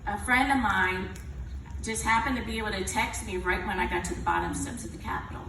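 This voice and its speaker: A woman in the audience with a big, loud voice, fervently telling a rambling personal story and asking a question at an event. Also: medium pitch, brisk, creaky. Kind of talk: emotional personal testimony. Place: large event hall. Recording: echoey hall from far off, noisy.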